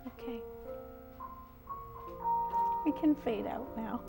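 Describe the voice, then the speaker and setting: Female interview guest, writer-composer; higher pitch with noticeable vocal fry, halting conversational delivery. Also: loud music behind the voice. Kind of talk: casual interview talk.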